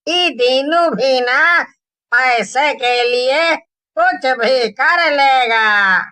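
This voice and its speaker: An excitable village man from a cartoon, acted by a woman, speaks in a high voice. The delivery is very slow and drawn out, with exaggerated exclamations and a bright, forceful edge. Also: smooth, exaggerated voice. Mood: tipsy, mocking. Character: excitable village men, farmers and tipsy drunkards. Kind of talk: cartoon dialogue.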